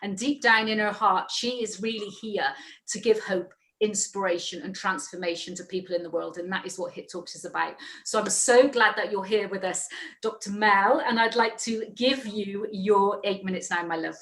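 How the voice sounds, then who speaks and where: A woman's voice: medium pitch, fairly even intonation, normal speed, fairly bright tone, rough recording. A woman hosting an online talk event, speaking off the cuff in an effusive, encouraging way. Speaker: international speaker. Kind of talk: unscripted live introduction of a speaker.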